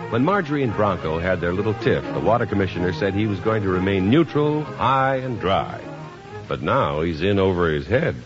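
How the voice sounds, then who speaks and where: American male announcer for vintage radio sponsor spots and story intros; very lively, muffled, music behind. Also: low voice, normal speed. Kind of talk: 1950s radio advertising and scripted narration.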